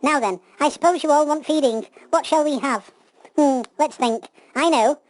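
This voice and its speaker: A woman's voice: high voice, moderate pace, dry recording, pitched above the performer's own voice, lightly acted, rough recording. A woman playing a sweet, gullible young fairytale princess in a children's pantomime. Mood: sheepish, motherly.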